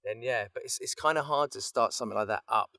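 A man's voice: medium pitch, fast talker, bright, forceful tone, dry recording, clean studio sound. A man chatting casually as a guest on a podcast. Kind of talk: casual chat.

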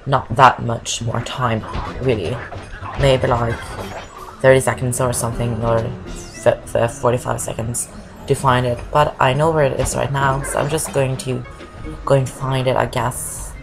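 A woman's voice: very deep voice, flat delivery, a little slow, gravelly, poor audio. A woman from Norway, not an English speaker, giving rambling casual commentary over a game walkthrough and saying "I guess" a lot. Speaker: gameplay commentator. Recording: voice over loud game music.

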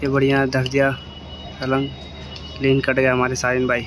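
Flat-voiced man commentating a rooftop kite fight. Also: mid-range voice, normal speed, over music. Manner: steady running commentary, flat delivery. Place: outdoors on a city rooftop. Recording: outdoor phone recording with street noise.

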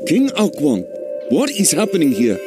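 Questing game sidekick: high, swooping voice, inquisitive and anxious, dramatic delivery with music behind. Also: male voice, huge pitch swings, moderate pace, a loud crowd. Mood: concerned. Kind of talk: dramatic game cutscene acting.

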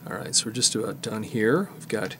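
A middle-aged man giving a casual hands-on tutorial, explaining in a halting way as he works with his hands. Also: fairly low pitch, lively intonation, a bit bright, slight background noise. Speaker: presenter demonstrating cassette disassembly.

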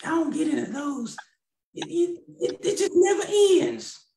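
A man's voice: very high-pitched, animated, normal speed, creaky, dark tone, roomy sound, heavily acted. A man doing a sassy old grandma puppet. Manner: drawling, folksy, calls people baby. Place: small room at home, video call.

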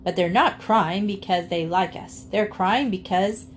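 A woman voicing a sharp-tongued, sceptical female animal who speaks sarcastically. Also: mid-range voice, a little slow, slight background noise, lightly acted. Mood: tart. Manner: tart, sarcastic.

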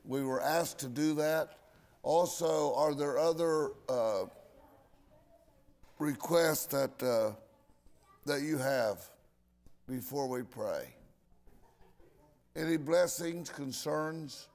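An older pastor speaks from the pulpit in a church, gathering prayer requests. His pace is slow and deliberate, and he pauses with fillers as he goes. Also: on the high side, darkish tone. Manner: unhurried, halting with fillers. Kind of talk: leading prayer requests in a service.

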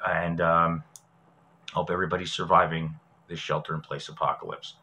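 A man's voice: sits low, little pitch movement, moderate pace, bright, forceful tone. A man in his forties, a show host giving a casual how-to tutorial in a chatty, folksy way, speaking directly to viewers. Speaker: host of a prepping radio show. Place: small indoor room at a desk.

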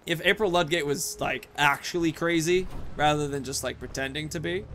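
A man's voice: on the high side, moderate pace, sharp tone. A young man hosting casual gameplay commentary in a chatty, jokey, rambling way. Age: young adult. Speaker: gaming video host. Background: game ambience, faint noise.

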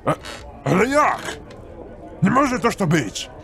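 Sore-loser tavern gambler, blustering, slow-paced, noisy tavern behind, audio drama. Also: male voice, high voice, wildly swooping pitch, gravelly, bright, forceful tone, full character voice. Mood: outraged. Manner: blustering, taunting, exclamatory. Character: fat, balding, hot-headed male gambler, sore loser.

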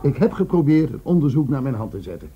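An actor playing a genial, self-important senior police inspector in a radio drama, formal and confiding. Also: male voice, mid-range voice, very animated, fast, close to an everyday voice. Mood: awkwardly confiding. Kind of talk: Dutch radio drama acting. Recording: dull, faintly noisy radio recording.

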